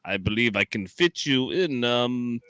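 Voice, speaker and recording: A pompous, wildly swooping psychoanalyst. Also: male voice, medium pitch, expressive, a little slow, a bit bright, clean tone, no echo. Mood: pompous, accusing. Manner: theatrical, lecturing, erratic swoops. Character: pompous, excitable old-school male psychoanalyst, a holodeck Freud. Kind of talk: radio play live read.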